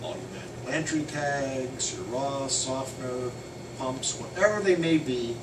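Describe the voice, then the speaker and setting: A man giving a casual, hands-on software training walkthrough, loud and emphatic on key points. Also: mid-range voice, animated, a little slow. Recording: roomy, distant, noisy air conditioning.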